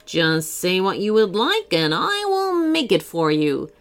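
A helpful futuristic vending machine voiced by a woman in a storybook. It speaks slowly and formally, in a matter-of-fact way, with faint music behind. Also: medium pitch, sing-song. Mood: obliging.

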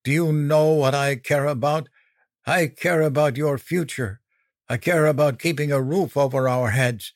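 A man's voice: a little high, unhurried, dry recording, studio quality, pitched above the performer's own voice. A man voicing a furious, domineering mother in a dramatic audiobook, stern, indignant and emphatic as the character scolds a child. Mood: angry, indignant.

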